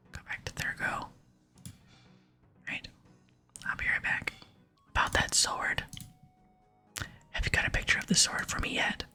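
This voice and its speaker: Whispering woman reading game dialogue, ASMR style. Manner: soft, slow, hushed reading. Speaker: ASMR gaming channel host.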